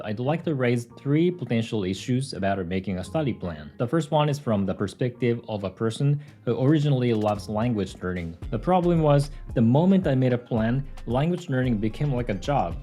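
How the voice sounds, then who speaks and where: A young Japanese man, speaking English as a second language, calmly narrating a scripted video about his language learning. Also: mid-range voice.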